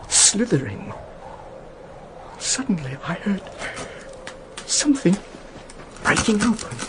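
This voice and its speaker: A man's voice: sits high, noisy background, full character voice. An actor playing a frail, nervous older man with a hesitant, stammering delivery in a radio drama. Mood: haunted, dramatic. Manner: hesitant, stammering, halting storyteller. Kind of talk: British radio drama acting.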